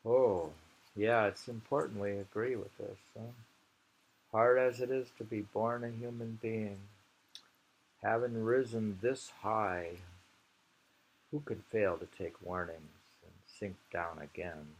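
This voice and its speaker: A man reading poetry aloud informally, with musing, halting commentary and frequent pauses, in an amateur recording. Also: lowish voice, fairly even intonation, low-quality recording.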